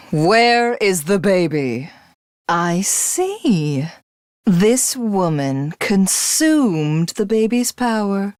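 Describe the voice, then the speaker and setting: A woman's voice: a little high, fairly bright tone, no room sound, studio quality, heavily acted. A woman playing a cruel, megalomaniac villainess in a video game, grandiose and theatrical, with a sadistic streak and a hunger to be God.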